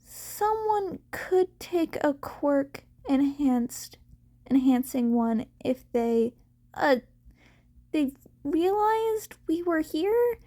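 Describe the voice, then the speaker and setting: A woman's voice: sits high, expressive, very slow, mellow, pitched above the performer's own voice, heavily acted. A woman voicing a nervous, rambling teenage boy vigilante, stammering and hesitant, in a fan fiction audiobook.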